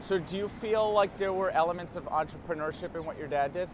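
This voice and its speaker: Chatty host, a man with a high voice, upbeat, talking outdoors with light traffic behind. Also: fairly quick. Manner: upbeat, chatty, self-correcting. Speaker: show host, interviewer. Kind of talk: casual interview. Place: outdoors in a city, in a vehicle.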